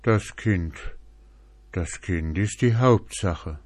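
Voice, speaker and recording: A man's voice: low-pitched, darkish tone, no room sound, exaggerated voice. A man doing a sluggish boozy ox. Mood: ashamed. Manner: slow, sluggish drawl. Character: boozy dim ox who later gets ashamed.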